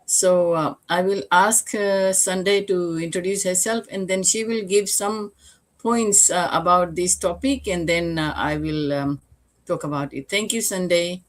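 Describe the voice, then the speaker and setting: An older woman from Fiji hosts a live online talk show in a low voice. She speaks earnestly and reflectively, pausing to pose questions to her viewers. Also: steady tone. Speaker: host.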